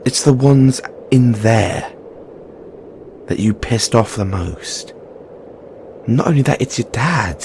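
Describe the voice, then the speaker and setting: Guy doing a santa's workshop elf, slow talker, weary but supportive, straight-talking audio roleplay, faint noise behind. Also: medium pitch, a little mellow. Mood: chiding. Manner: earnest, chiding yet reassuring, informal. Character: frank, caring male Christmas elf, weary but supportive. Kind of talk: second-person audio roleplay monologue.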